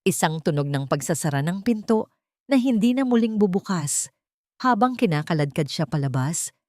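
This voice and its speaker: A woman's voice: on the low side, a little mellow, dry recording, clean studio sound. A woman with a Filipino accent giving a scripted, dramatic narration of a love story.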